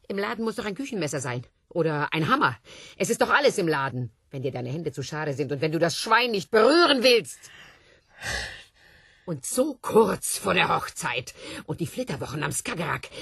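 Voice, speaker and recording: A woman's voice: lowish voice, very animated, normal speed, fairly bright tone, no echo, clean studio sound, heavily acted. An actress in a radio play voicing a self-loathing, taunting woman who pleads insistently, drifting from whispers to outcries. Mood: bitter, agitated. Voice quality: husky, breathy.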